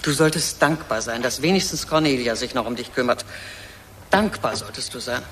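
A woman's voice: very deep voice, moderate pace, fairly bright tone, distant mic, slight background noise. An older woman playing a scolding, reproachful mother of a grown daughter in a radio drama. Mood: needling. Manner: scolding, accusatory.

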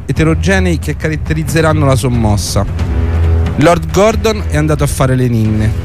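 Higher-pitched man narrating over prominent music. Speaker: host and narrator of a radio series. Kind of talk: scripted historical narration for radio. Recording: music under the voice.

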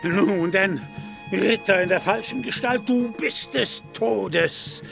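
Ein Schauspieler spielt einen stolzen, verblendeten alten fahrenden Ritter, der in einem Hörspiel pompös und altertümlich deklamiert. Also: male voice, high-pitched, takes it slowly, gravelly, music in the background, exaggerated voice. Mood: solemn, threatening. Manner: grandiose, archaic declamation. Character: proud, deluded old knight-errant, pompous and fervent. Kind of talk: radio play acting.

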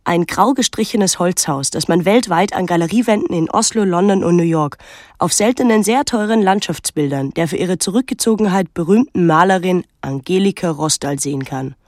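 A young actress playing a pensive, detached teenage daughter, narrating a literary radio drama in a measured, introspective way. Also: female voice, lowish voice, lively intonation, darkish tone, no echo, clean studio sound, natural voice. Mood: detached, wry.

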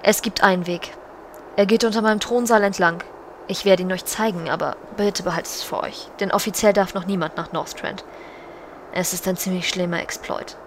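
A woman's voice: medium pitch, steady tone, fairly quick, a little hiss or hum, lightly acted, rough recording. A woman playing a regal, solemn, commanding undead banshee queen in an audio drama, speaking in a stately, formal way. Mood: urgent, conspiratorial. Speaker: voice actor in a parody audio drama.

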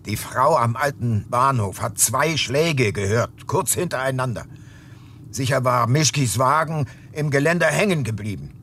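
An actor playing a dogged, wry private detective talking in a dry, matter-of-fact way in a crime radio drama. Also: male voice, medium pitch, lively intonation, moderate pace, fairly bright tone. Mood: explaining, intent. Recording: noisy background ambience.

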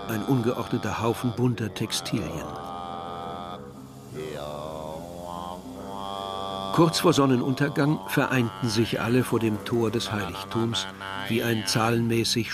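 Schauspieler als müder junger Erzähler, mittlere Tonlage. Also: male voice, mid-range voice, moderate pace, music in the background, close to an everyday voice. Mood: measured. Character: weary, observant young male villager narrating a journey. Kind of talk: literary radio drama narration.

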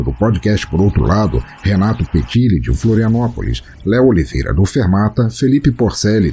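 A man's voice: lowish voice, warm tone, a little hiss or hum. A Brazilian man hosting a podcast, reading a scripted introduction in a grandiloquent, tongue-in-cheek theatrical manner. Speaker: podcast host.

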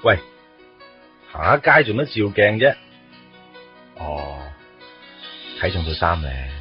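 A young man with a deep-set pitch, blunt with friends and soft on romance. It is radio drama, a little dull in fidelity with faint music behind. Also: very animated, slow, exaggerated voice. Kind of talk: Cantonese radio drama acting.

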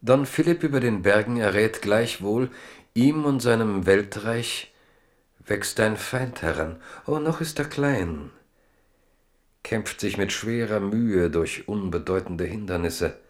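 A man narrating a historical radio drama in a measured storytelling manner. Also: lowish voice. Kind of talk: scripted literary narration.

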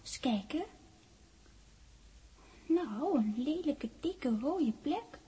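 An actress playing a lively, bossy ten-year-old girl in a childlike, chatty way, in 1960s Dutch radio drama style. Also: high-pitched, drawn-out words, heavily acted. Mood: bossy, fussing. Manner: childlike, chatty, searching for words. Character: lively, bossy little girl on her tenth birthday. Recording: husky-free vintage 1960s radio recording.

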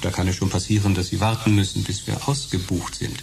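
An oily, elderly male undertaker speaks low with a gravelly creak and pompous, formal sympathy. It is a radio play, with clear noise behind the voice. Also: little pitch movement, fairly quick. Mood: salesman-like, discreet. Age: older. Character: unctuous elderly male undertaker doctor with hushed professional sympathy. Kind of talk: radio drama acting.

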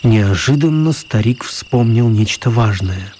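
A man's voice: lowish voice, expressive, a little slow, darkish tone, slight background noise. A man narrating a radio play as a scripted storyteller.

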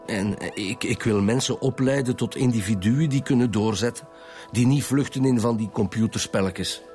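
A man's voice: mid-range voice, moderate pace, darkish tone, faint music behind, natural voice. A man with a Flemish accent playing a defensive, self-righteous husband under police questioning in a radio drama. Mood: guarded, self-righteous. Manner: self-righteous, weary, curt. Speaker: actor in a radio detective serial.

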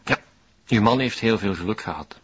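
A man with a Flemish accent and a husky voice playing a calm, reassuring hospital surgeon in a crime drama. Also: on the low side, moderate pace, highs cut off. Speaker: actor.

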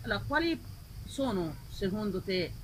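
A woman's voice: mid-range voice, sing-song, moderate pace. A woman putting long, rambling, insistent questions in an informal discussion at a live event. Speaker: festival organiser questioning the guest. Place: outdoor evening event in an olive grove. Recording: noisy live event recording.

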